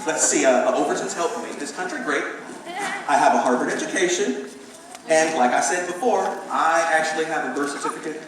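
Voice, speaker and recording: A man's voice: fairly high pitch, a little fast, close to an everyday voice. A man on stage playing a pompous, showy congressional candidate who styles himself an American patriot, recorded in an echoey hall. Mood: smug, evasive. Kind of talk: live stage play acting. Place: theater stage, audience behind. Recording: echoey hall, distant mic, noisy audience.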